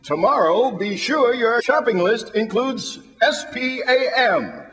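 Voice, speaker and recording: An American man announcing and selling in an upbeat, clearly enunciated 1940s radio commercial style. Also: very high-pitched, big pitch swings, slow pace, fairly bright tone. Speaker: radio announcer and sponsor pitchman. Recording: old radio broadcast with music.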